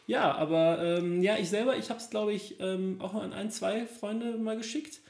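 A man's voice: high-pitched, normal speed, creaky, darkish tone. A man chatting casually as a podcast co-host, recorded in a roomy, echoing space. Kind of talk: casual chat. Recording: roomy echoing room sound.

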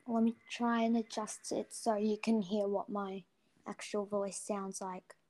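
A woman's voice: medium pitch, little pitch movement, unhurried, darkish tone. A young woman guest on a casual gaming interview who talks hesitantly, with many ums and trailing sentences, recorded on a cheap built-in mic. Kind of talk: casual chat.

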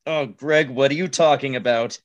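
A man's voice: medium pitch, moderate pace. A man hosting a podcast in scripted comedic banter, sounding exasperated. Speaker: podcast host.

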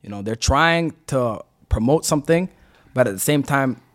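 A man in casual podcast talk, making his point forcefully with rhetorical questions. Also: medium pitch, animated, normal speed. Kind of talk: casual chat, arguing a point.

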